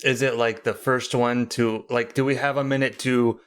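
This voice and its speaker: A man's voice: medium pitch, flat delivery, normal speed, smooth. A man joins a podcast as a guest, speaking hesitantly and trailing off as he thinks aloud. He rambles a bit and jokes about himself. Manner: hesitant, rambling, self-deprecating. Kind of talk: casual podcast chat.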